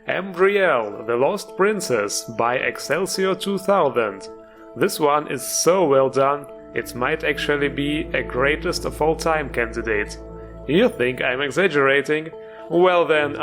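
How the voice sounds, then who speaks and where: A man's voice: on the high side, animated, measured pace, over music. A man hosting a video game mod show, giving informal, enthusiastic commentary with a joking streak. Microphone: voice-over recorded over gameplay music.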